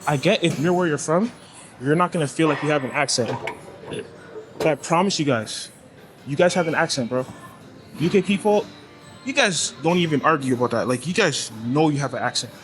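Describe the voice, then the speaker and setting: A young man with a Toronto accent talks at a slightly high pitch, relaxed and slangy as he coaches through his sets. He is recorded on a clamped camera mic in an indoor gym, with faint music playing behind him. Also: brisk, pauses often. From Toronto, Canada. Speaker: fitness vlogger. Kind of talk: casual gym vlog with exercise tips. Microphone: clamped camera mic, no tripod.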